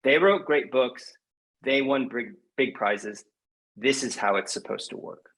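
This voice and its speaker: A man's voice: medium pitch, unhurried, a bit bright, low-quality recording. A man with a mid-range voice talks as a guest poet on a podcast. He is thoughtful and emphatic, building his points through restatement in a conversational interview style.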